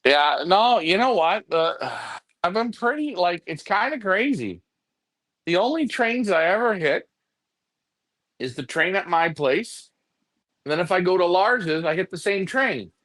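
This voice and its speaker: A man's voice: sits high, sing-song, measured pace, a bit bright, dry recording. A man co-hosting a pigeon racing show, chatting casually and telling stories as he goes. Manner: rambling storyteller, puts on voices in quoted talk. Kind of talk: casual chat.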